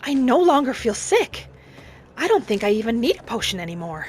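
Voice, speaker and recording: A woman narrating a video game roleplay in character, speaking in polite, gently formal phrasing. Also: on the high side, big pitch swings, brisk, a little hiss or hum. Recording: background music behind the voice.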